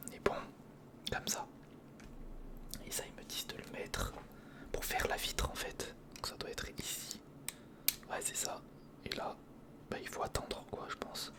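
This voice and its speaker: A man speaking in a breathy whisper, giving informal ASMR commentary while building something, muttering half to himself and half to the viewer. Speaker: ASMR video creator.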